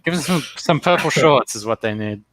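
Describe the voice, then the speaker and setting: A man's voice: mid-range voice, animated, normal speed, fairly bright tone, slight background noise, low-quality recording. A man chatting casually and crudely about his comic pages, laid-back and joking. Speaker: comic artist co-host. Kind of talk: casual chat.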